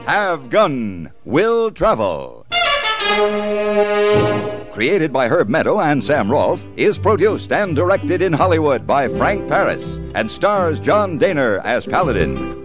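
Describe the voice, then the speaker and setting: A man announcing scripted credits in the style of 1960 network radio. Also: mid-range voice. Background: brassy theme music.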